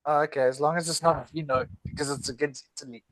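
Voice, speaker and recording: Male podcast co-host, 30s, raised pitch, chatty and giggly, stop-start delivery, dry room. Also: fairly even intonation, fairly bright tone, no echo, poor audio. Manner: laughs often, halting restarts. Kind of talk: casual chat over a video call. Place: at home in South Africa.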